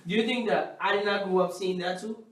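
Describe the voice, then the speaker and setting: A man's voice: high-pitched, steady tone, fairly quick. A man debating casually on a panel, insistently repeating phrases to hold the floor. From grew up half in Africa, half in the United States. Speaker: talk show panelist. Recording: echoey room, distant microphone.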